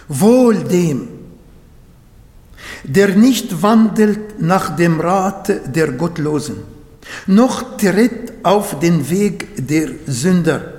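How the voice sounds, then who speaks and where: A man's voice: fairly high pitch, darkish tone, roomy sound, in a large hall. A man whose first language is Arabic, preaching a sermon in German, earnest and sometimes searching for words.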